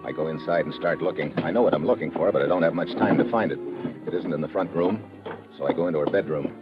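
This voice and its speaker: A man's voice: a bass voice, fast talker. An actor playing a terse, wry, suspicious American investigator who narrates his own case in old-time radio detective style. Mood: tense narration. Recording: dull vintage radio recording with music.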